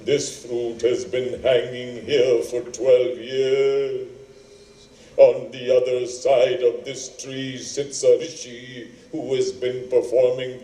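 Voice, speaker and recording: A man voicing a solemn, accusing talking tree with a chanting, drawn-out, declamatory delivery in a stage drama. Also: mid-range voice, warm tone, reverberant, recorded from a distance, close to an everyday voice, low-quality recording.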